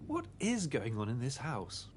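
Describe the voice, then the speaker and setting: A man's voice: mid-range voice, huge pitch swings, unhurried, a little hiss or hum. A man voicing a hapless pig detective in a cartoon video game, polite, earnest and apologetic.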